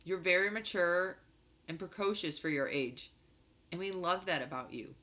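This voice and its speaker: A measured, reassuring father from a children's novel, read by a woman. The voice is bright and clear-edged, reasoning calmly with his child. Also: lowish voice. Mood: gently firm. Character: calm reasoning father, middle-aged man.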